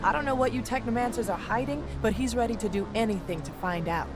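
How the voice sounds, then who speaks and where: A fairly high female voice, urgent and insistent, an actress playing an anxious young ally. The game dialogue plays over clear music. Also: fast, lightly acted. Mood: alarmed, urgent. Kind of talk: dramatic video game dialogue. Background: background music.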